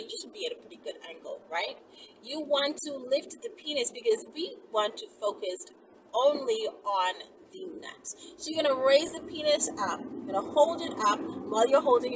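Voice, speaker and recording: A woman in her thirties talks in a high, very lively voice, giving casual step-by-step advice with plenty of emphasis. She is recorded inside a moving car, a little way from the mic, with loud road noise all around her. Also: recorded from a distance. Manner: animated, emphatic, often checking with "okay?" and "right?". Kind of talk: casual instructional chat to camera.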